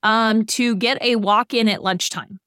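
A woman's voice: on the high side, fairly even intonation, measured pace, fairly bright tone, clear, resonant tone, no room sound, clean studio sound. A woman in her late thirties co-hosting a podcast, explaining ideas and giving everyday examples in a casual way. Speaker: podcast co-host.

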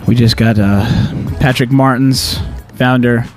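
A man's voice: mid-range voice, fairly even intonation, a little slow, loud music behind the voice. A man hosting a radio show, chatting casually with guests in a loose, rambling way. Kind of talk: casual interview chat.

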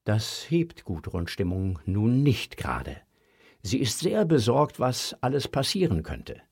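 A man's voice: fairly low pitch, wildly swooping pitch, a little slow, a little mellow, breathy edge. A man narrating a scripted children's audio story as the storyteller.

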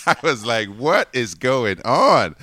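A man's voice: mid-range voice, sing-song, slow pace, sharp tone. A man in his forties from Congo, speaking English, animatedly telling stories in an interview and often laughing. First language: French. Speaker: guest. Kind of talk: casual interview storytelling.

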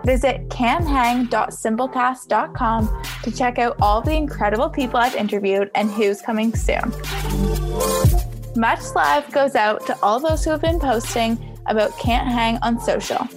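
A woman's voice: a little high, a little slow, music in the background. A young woman from Toronto, hosting a podcast in a chatty, casual way, often saying "like" and "um.". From Toronto, Canada. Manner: chatty, frequent "like" and "um", laughs easily. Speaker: podcast host. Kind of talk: casual interview chat.

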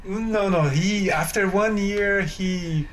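A man's voice: high-pitched, slow, slight background noise, poor audio. A man from Brazil with a Brazilian accent, speaking earnestly as a guest in a casual interview and searching for his words. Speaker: guest pianist.

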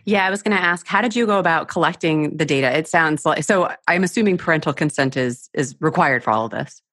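A woman's voice: low-pitched, quick pace, a bit bright, dry recording, studio quality. Woman host summing up her guest. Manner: thinks aloud, sums up the guest's points before asking. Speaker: podcast host. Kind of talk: interviewing a guest.

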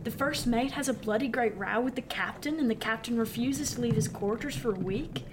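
A woman's voice: on the high side, brisk, noisy background, close to an everyday voice. A woman playing a seasoned male merchant sailor in a radio drama, wry and confiding, with old-fashioned nautical speech. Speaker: actor.

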